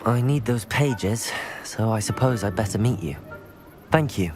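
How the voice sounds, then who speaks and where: Game role: earnest young wizard student, polite and resolute, lively intonation over music. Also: male voice, on the low side, measured pace. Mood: polite, determined. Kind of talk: video game character acting. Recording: music behind the voice.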